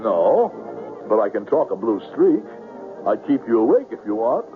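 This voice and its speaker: An actor playing a smooth-talking, anxious con man on the run in a 1970s radio drama, with florid, theatrical diction. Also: medium pitch, very animated, moderate pace, phone-quality sound, music in the background, natural voice. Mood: affable. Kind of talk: 1970s radio drama acting, first-person narration. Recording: noisy, phone-thin old radio broadcast.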